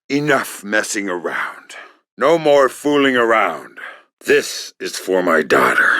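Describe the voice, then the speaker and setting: A gruff, menacing covert operative from a video game, middle-aged and wry. His voice sits extremely low and rumbles with gravel, often sinking into a breathy growl. He delivers terse, deadpan, sardonic lines in a dry, close recording. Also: dry recording, full character voice. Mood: angry, menacing.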